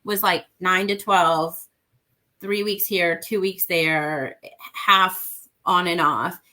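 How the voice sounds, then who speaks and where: A woman from Mexico with a somewhat low-pitched voice speaks as an interview guest. She talks about parenting earnestly and hammers home her points by repeating them, in a relaxed conversational way. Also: slow pace.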